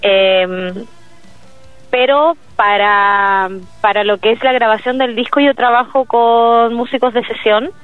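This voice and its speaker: A woman's voice: on the high side, steady tone, slow, dry recording, soft background music. A woman with a Chilean accent, a musician talking about her project in an interview, hesitating often with fillers and restarts. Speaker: solo musician, interview guest. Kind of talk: casual interview over a call. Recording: dry, tinny phone-like line.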